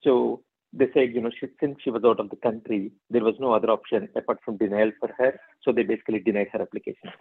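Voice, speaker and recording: Husky male caller on a muffled phone line. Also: lowish voice, steady tone, fast talker. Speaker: caller asking for advice. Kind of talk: explaining his case and asking questions.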